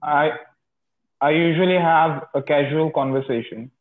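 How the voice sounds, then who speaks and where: A man's voice: a little high, steady tone, measured pace. Young Pakistani man on a tinny line. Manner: hesitant, short answers with many uh's. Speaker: English teacher practicing conversation. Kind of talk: casual video-call conversation. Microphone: phone held close.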